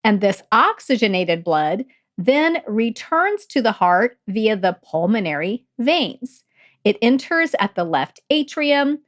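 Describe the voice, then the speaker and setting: A woman hosting a nursing podcast, her voice a little croaky and gravelly from a lingering cough, explaining step by step and repeating key points. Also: on the high side. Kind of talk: casual teaching talk.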